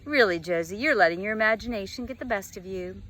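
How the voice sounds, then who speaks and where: Schoolgirl friend, played by a female reader: bright, light, conversational, deflecting, storybook dialogue. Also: mid-range voice, fast, lightly acted. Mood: dismissive, evasive. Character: schoolgirl friend, apologetic, evasive, brushing off worry.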